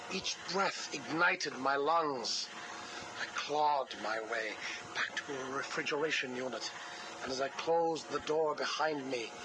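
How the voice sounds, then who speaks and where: A male actor as a cold, vengeful scientist villain from a video game. He speaks slowly and gravely at a middle pitch, bitter with grief and devotion. Clear noise runs through the audio log recording. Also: natural voice. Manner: slow, grave, deliberate recounting. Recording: noisy interview audio log.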